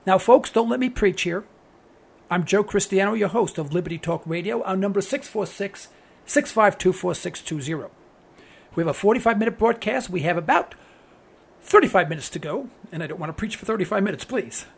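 A man's voice: high voice, animated, brisk, gravelly, husky. A man with a New York accent hosting a political talk radio show, delivering an earnest, preachy monologue that repeats phrases for emphasis.

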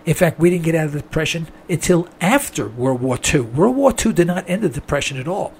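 A man hosting a call-in radio talk show, speaking in a rambling, folksy monologue while hoarse from a throat infection. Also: a little high, animated, brisk, a little mellow, slight background noise. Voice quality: strained, gravelly voice.

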